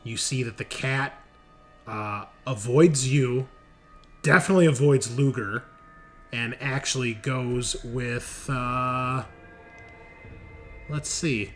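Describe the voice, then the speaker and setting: A man runs a tabletop roleplaying game as game master. He speaks casually in a mid-range voice, explaining and narrating for the players. Soft music sits behind him. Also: medium pitch, slow pace, pauses often. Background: background music.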